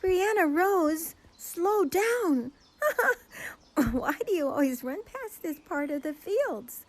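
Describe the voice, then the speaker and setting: A sweet young farm girl in a radio mystery play, acted by a woman. Her voice is high and swings animatedly as she teases. She takes her words slowly, with a carefree, playful manner. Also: expressive, a little mellow, heavily acted. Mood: playful, calling out. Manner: playful, teasing. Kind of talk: audio drama acting.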